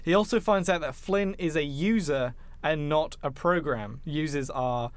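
A man's voice: a little high, measured pace, clear, resonant tone, no room sound. A man hosting a podcast, recapping a film plot in a casual, jokey and informal way. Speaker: podcast host.

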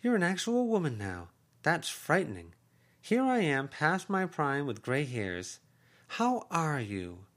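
A man's voice: on the high side, wildly swooping pitch, unhurried, fairly bright tone, pitched above the performer's own voice, exaggerated voice. A man voicing a cheerful, effusive aunt who talks in an emphatic way. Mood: gushing. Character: cheerful gushing adult aunt, woman.

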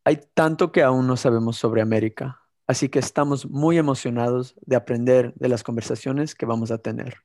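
A man in his twenties co-hosting a podcast, earnest and steady, now and then restarting a word. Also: mid-range voice, moderate pace, hardly any pauses. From born in Ecuador, raised in California. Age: 20s. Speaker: podcast co-host. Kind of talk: scripted podcast intro and casual chat.

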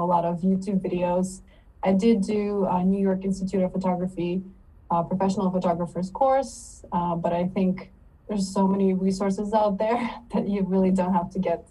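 A woman's voice: medium pitch, normal speed, husky, far from the mic, low-quality recording. A woman from Ukraine, an English teacher and photographer, talking casually as a podcast guest with an easygoing manner. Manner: relaxed, laughs easily, many fillers. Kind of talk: casual interview talk.

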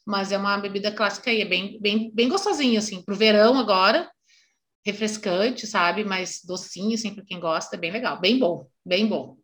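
A woman's voice: mid-range voice, normal speed, a bit bright. A woman interviewing in a casual chat, friendly and encouraging, often murmuring agreement. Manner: friendly, encouraging, frequent backchannel "uhum". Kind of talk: casual conversation.

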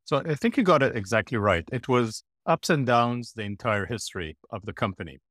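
Man, from Israel, middle-aged, blunt, animated, mid pitch, dry close recording. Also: brisk, dry recording. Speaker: podcast guest, former platform executive. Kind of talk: interview answer.

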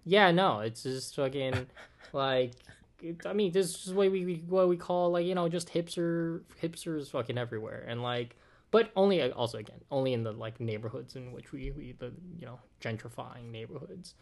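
A man in his late twenties with a Californian American accent and a medium-pitched voice. He talks casually and loosely, circling around his points with plenty of "like" and some casual swearing, as a guest in conversation. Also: normal speed, creaky. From Oakland, California, USA. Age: 20s. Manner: rambling, frequent "like", casual swearing. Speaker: podcast guest.

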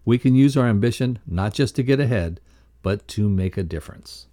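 A man co-presenting a motivational podcast lesson, reading scripted text aloud in a measured, instructional way. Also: fairly low pitch, lively intonation, dark tone.

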